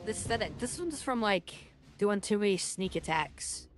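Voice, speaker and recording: A woman with a loud voice chats her way through a video game, circling back with lots of "like" and repeated words. Her voice sits at an ordinary middle pitch. Faint game music plays quietly behind her. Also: animated, a little slow. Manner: rambling, lots of fillers and restarts. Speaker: gaming streamer. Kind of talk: casual game commentary.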